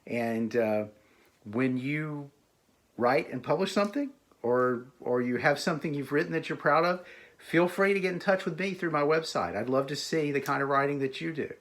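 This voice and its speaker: A man's voice: a little high, animated, normal speed, fairly bright tone. A man, an author, speaking in a recorded message to a library audience, hesitating often with "uh" and "um". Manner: hesitant, full of "uh" and "um" fillers.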